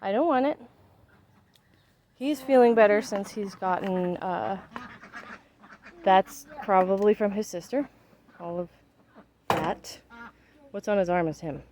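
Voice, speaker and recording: A woman's voice: mid-range voice, slow pace, long pauses, darkish tone, a little hiss or hum. A woman in her thirties chatting casually in a vlog, rambling and emphatic as she works outdoors in her backyard. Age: 30s. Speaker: vlogger.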